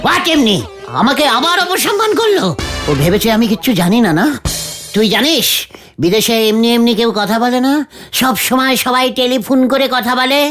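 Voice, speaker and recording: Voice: mid-range voice, expressive, moderate pace, fairly bright tone, close to an everyday voice. A performer voicing a boastful, bumbling, easily offended uncle in a children's cartoon, blustering and dropping mangled English into the talk. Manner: blustering, exclaiming, mixes mangled English into speech. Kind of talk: exaggerated comic children's cartoon acting. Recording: music behind the voice.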